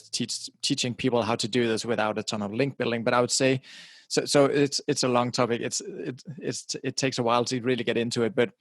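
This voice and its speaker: A middle-aged Danish man, whose first language is Danish, speaks as a guest on an interview podcast. His voice has a mid pitch and a dry, close-miked sound. He talks casually and often stutters into restarts. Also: dry recording. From Denmark. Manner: casual, repeats words at the start of phrases, laughs now and then. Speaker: guest, website publisher. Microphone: directional podcasting mic close to mouth.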